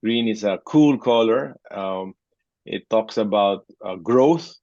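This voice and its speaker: A man's voice: fairly low pitch, slow pace, a little mellow, no echo, dull audio. A man, answering interview questions hesitantly, often restarting his sentences. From Philippines. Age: 60s. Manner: hesitant, with frequent restarts and short laughs. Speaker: interview guest. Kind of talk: conversational interview.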